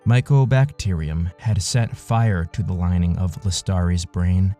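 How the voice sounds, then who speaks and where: A man narrating a scripted literary audio story in a measured, solemn manner. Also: low voice, dark tone, soft background music.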